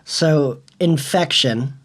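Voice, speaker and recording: A man's voice: on the high side, drawn-out words, a bit bright. A man co-hosting a show, chatting casually with colloquial enthusiasm. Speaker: co-host and performer. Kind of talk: casual chat.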